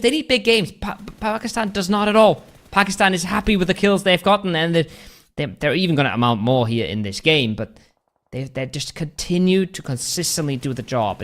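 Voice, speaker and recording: A man with a fairly high voice calls a live esports match. He moves between analysis and excitable play-by-play, always following the action. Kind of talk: live game commentary.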